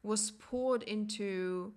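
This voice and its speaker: A young adult woman whose first language is German, hosting a podcast and speaking in a reflective personal monologue. She pauses often and searches for words. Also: medium pitch, a little mellow. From Latvia. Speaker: podcast host.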